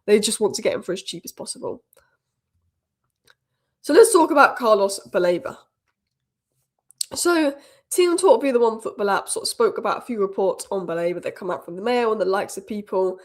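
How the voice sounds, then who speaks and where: A woman hosting a football news roundup, a little croaky from a cold, giving opinionated fan commentary. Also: mid-range voice. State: has a cold, slightly croaky. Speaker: football channel host. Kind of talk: fast solo news roundup.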